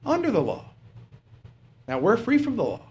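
A middle-aged man preaching a sermon in a plain, halting way, asking rhetorical questions and pausing on fillers. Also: very high voice, huge pitch swings, darkish tone.

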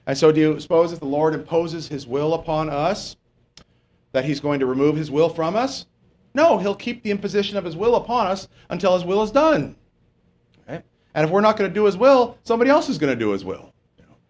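A male pastor preaching in a church, loose and conversational rather than formal. He keeps asking the congregation rhetorical questions and slips in wry asides, and his voice sits a little higher than most men's. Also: lively intonation, a little fast, darkish tone. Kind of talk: sermon.

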